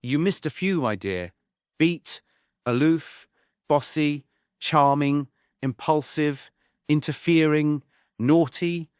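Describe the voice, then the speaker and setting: A man's voice: a little high, takes it slowly, long pauses, a little mellow, smooth, dry recording, studio quality. A man voicing a flamboyant, cocky trickster with a showboating, teasing manner, in a stage script table read. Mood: teasing, smug. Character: flamboyant, cocky male trickster with a god complex.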